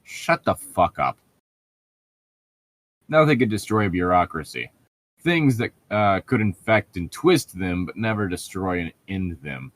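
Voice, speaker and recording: Exasperated, low-voiced man on mic. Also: lively intonation, unhurried, a bit bright, dry recording. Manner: exasperated, sweary, mocking. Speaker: co-host reading fan fiction aloud. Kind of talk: sarcastic read-aloud with ranting commentary.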